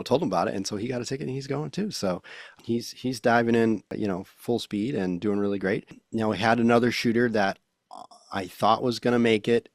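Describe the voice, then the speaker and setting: A man's voice: low voice, dry recording, clean studio sound. A man co-hosting a podcast, talking in a soothing, rambling way about growing his team. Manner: rambling, self-described soothing voice. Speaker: podcast co-host, photography business owner. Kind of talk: casual podcast chat.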